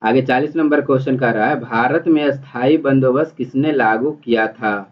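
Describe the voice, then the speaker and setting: A man's voice: mid-range voice, normal speed. A man teaching exam prep, reading multiple-choice questions and explaining the answers in an instructional, repetitive way. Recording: dull, muffled lo-fi audio.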